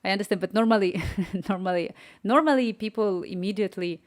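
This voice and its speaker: A woman's voice: medium pitch, a little fast. A young woman with a Ukrainian accent, chatting casually to her viewers as she builds an app on a live stream, thinking aloud and answering chat. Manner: chatty, thinks aloud, reads chat messages and dictates prompts. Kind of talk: casual live-stream commentary while coding.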